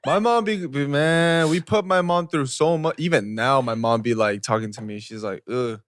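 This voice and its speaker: A man who co-hosts a podcast, talking in an animated, slangy, joking way. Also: medium pitch, normal speed. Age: 20s. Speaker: podcast co-host. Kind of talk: casual podcast chat.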